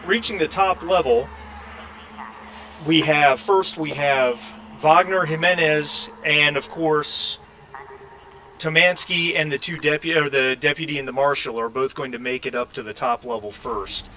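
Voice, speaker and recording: Guy game mastering a tabletop rpg, bright voice, feels his way through sentences, bit of noise behind him. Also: fairly high pitch, steady tone, rough recording. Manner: halting, conversational, searching for words. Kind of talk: narrating a tabletop role-playing game.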